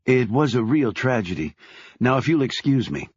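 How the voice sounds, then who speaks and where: A man voicing a wary, sharp-tongued woman journalist in an audiobook. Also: medium pitch, big pitch swings, moderate pace, breathy edge, no echo, lo-fi sound.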